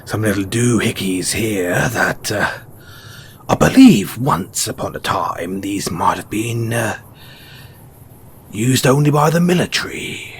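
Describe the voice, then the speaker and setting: A man playing a shrewd, mysterious post-apocalyptic merchant in an audio roleplay, speaking in an insinuating, theatrical way with drawn-out pauses. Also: fairly low pitch, lively intonation, slight background noise. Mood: wry haggling. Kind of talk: audio roleplay character acting addressed to the listener.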